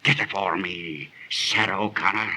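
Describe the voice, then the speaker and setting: An actor playing a crafty, lustful seafaring merchant villain in a commanding, archaic manner of 1930s radio drama. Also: male voice, deep voice, moderate pace, bright, forceful tone, exaggerated voice. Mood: menacing, commanding. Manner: commanding, scheming, archaic formal speech. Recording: old 1930s radio recording.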